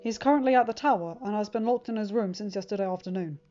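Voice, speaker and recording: A woman's voice: medium pitch, fast, warm tone, soft background music, natural voice. A woman voicing a concerned, commanding fatherly man in an audiobook, serious and decisive. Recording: dull lo-fi recording.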